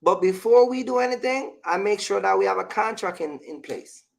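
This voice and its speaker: A man's voice: sits high, expressive, moderate pace, gravelly. A man talking casually as a vlog host, giving business advice and personal stories in an emphatic, repetitive way, often checking in with the listener.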